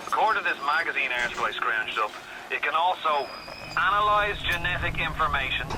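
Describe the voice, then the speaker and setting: A persuasive Irish working man in a video game, with a middling-pitched voice. He speaks in colloquial, wry, urgent turns over a radio channel thick with noise. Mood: sardonic. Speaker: guide speaking to the player by radio. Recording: very noisy crackling radio transmission.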